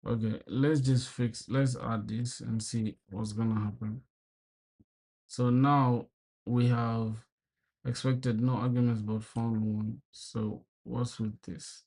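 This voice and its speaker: A young man from South Sudan streams himself coding, talking loosely to his viewers. He swears freely and vents when things break, then turns friendly and calls people bro. His pitch sits in an ordinary middle range for a man. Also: level tone, slow pace, a little mellow, husky. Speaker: programming livestreamer. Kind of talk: casual live coding stream.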